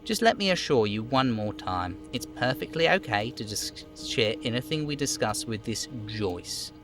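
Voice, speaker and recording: A man voicing an overweight union boss in a video game, falsely chummy, smooth and theatrically indignant. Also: medium pitch, lively intonation, moderate pace, over music, close to an everyday voice. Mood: falsely open. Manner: smooth, theatrical, over-familiar.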